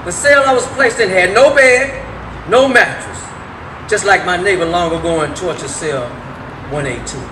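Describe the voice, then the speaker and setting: A man's voice: high-pitched, moderate pace, close to an everyday voice. A man performing a dramatic reading as an anguished prisoner facing life in isolation, with impassioned, emphatic delivery. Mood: anguished, defiant. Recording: echoey room with heavy background noise.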